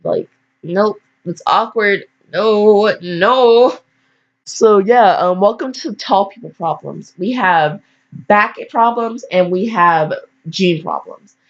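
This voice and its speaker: A woman's voice: mid-range voice, slow. A teenage girl casually reading aloud and rambling off on goofy, self-deprecating asides. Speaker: fan fiction reader. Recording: dull lo-fi microphone.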